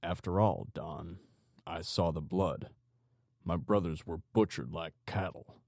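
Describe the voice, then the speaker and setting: A man voicing a calm, sinister male psychopathic gangster in an audiobook, with menacing, mock-teacherly politeness. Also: lowish voice, unhurried, creaky, no room sound, studio quality, exaggerated voice. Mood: angry, serious. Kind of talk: audiobook character dialogue.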